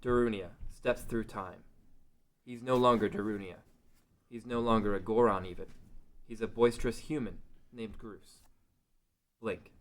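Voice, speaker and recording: A man's voice: lowish voice, fairly even intonation, a little slow, pauses often. A man narrating a fantasy script at a table read.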